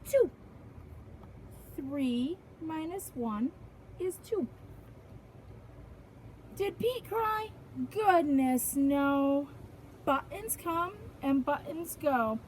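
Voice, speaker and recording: A woman with a high voice reads a children's picture book at a very unhurried pace. Her delivery is expressive and sing-song, like a preschool teacher putting simple questions to little listeners. There is faint noise in the background. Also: low-quality recording.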